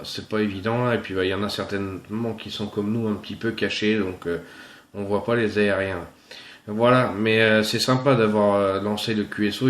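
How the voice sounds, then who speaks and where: A man with a French accent speaks casually as a CB operator in a radio contact. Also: lowish voice. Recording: roomy, echoing sound.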